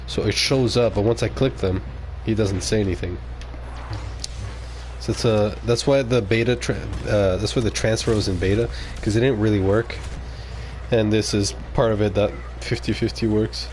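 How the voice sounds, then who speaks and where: A man whose first language is French talks through a live gaming stream in a relaxed, low-pitched voice. He reacts out loud to the action and mutters asides to his viewers. A faint hiss sits under the recording. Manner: casual, reacting aloud to the game, muttering asides. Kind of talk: live gameplay commentary.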